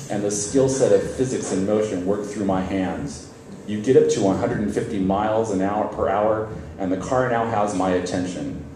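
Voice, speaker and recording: A man's voice: deep voice, mellow. A man reading a first-person story aloud on stage, in a wry, conversational way with occasional stumbles. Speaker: reader at a literary event. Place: live reading event, on stage. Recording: very echoey hall, distant mic, faint noise.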